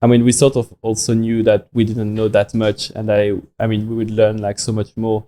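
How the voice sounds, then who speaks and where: A young man with a French accent talks in a podcast interview, stumbling and restarting as he works out his thoughts. His voice sits a bit low with a mellow, soft tone. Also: monotone. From France. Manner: halting, many restarts and fillers. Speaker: watch company founder.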